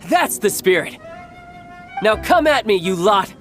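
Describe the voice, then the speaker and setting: Young game warrior, smooth, cocky, theatrical, lively intonation, music behind. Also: male voice, sits high, a little slow, exaggerated voice. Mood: brisk, confident. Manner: smooth, teasing, theatrically formal. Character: cocky, playful young male warrior posing as toy salesman. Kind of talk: video game voice acting.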